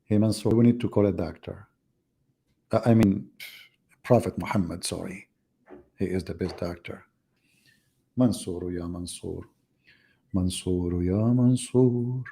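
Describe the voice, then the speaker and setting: Low, gravelly, sarcastic man. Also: a little slow, long pauses, mellow. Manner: sarcastic, teasing, rhetorical questions. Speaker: host of live religious debate. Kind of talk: mocking casual live commentary.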